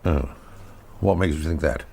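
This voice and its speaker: A man's voice: rumbling low, measured pace, gravelly, a little mellow, breathy edge, heavily acted. An actor playing a flustered, sardonic, jealous British man with a hesitant, stammering delivery in a radio drama. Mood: apologetic.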